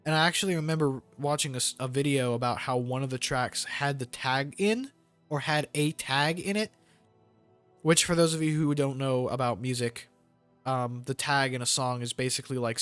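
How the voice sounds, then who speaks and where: A man's voice: mid-range voice, steady tone, moderate pace, fairly bright tone, soft background music. A man giving casual, rambling gameplay commentary with jokey slang. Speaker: gamer hosting a let's play.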